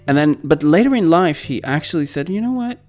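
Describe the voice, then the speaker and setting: A man's voice: fairly high pitch, expressive, a little fast, a little mellow. A man in his forties, not a native English speaker, hosting an interview in an enthusiastic, rambling way with many fillers. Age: 40s. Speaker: podcast host. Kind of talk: casual interview.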